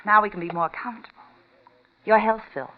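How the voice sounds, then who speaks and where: A woman's voice: medium pitch, a little fast, slight background noise, natural voice. An actress playing a flirtatious, evasive female client in a classic radio noir, coy and coaxing. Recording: dull old radio recording.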